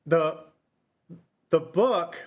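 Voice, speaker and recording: Halting man in his fifties, casual podcast talk. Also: on the high side. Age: 50s. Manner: halting, circling back and repeating phrases. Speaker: podcast host. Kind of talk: casual book discussion.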